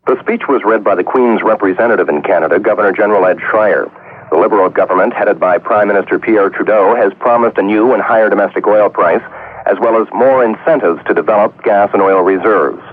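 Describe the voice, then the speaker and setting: A man's voice: on the low side, a little fast, slightly rough. A man delivering a scripted news report as a radio correspondent. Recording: tinny phone-line report.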